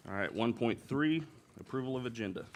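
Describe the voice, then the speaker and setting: A man's voice: mid-range voice, lively intonation, a little fast, darkish tone, a little hiss or hum. A man chairing a school board meeting, speaking in a plain, procedural way.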